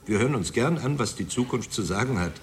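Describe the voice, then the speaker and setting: Pompous film director for radio drama, male actor, medium pitch, animated, condescending. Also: fast talker, natural voice. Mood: self-important. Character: vain, pompous film director lecturing at a college.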